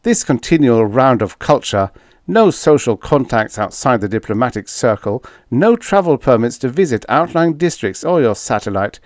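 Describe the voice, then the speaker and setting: A man acts out a hard-nosed, sardonic male diplomat in an audiobook story. He speaks in a pressing, accusing way, with lively swings of pitch, in a close, dry recording. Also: moderate pace, no room sound, natural voice. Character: tough, sarcastic male diplomat, blunt and accusing. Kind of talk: audiobook character dialogue.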